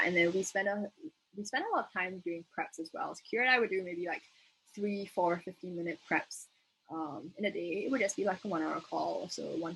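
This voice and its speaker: A young Singaporean woman with a slightly low voice explains things like a debate trainer. She talks casually, hedging and working out her thoughts as she goes. There is some noise in the background of the recording. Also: rough recording. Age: young adult. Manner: casual, hedging, thinking aloud. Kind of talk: informal explanatory lecture.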